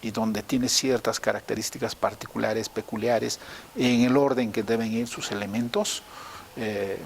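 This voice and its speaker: A man's voice: on the low side, steady tone, unhurried, gravelly. A man giving an explanatory interview in a didactic way, rephrasing his points step by step. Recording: noticeable hiss behind the voice.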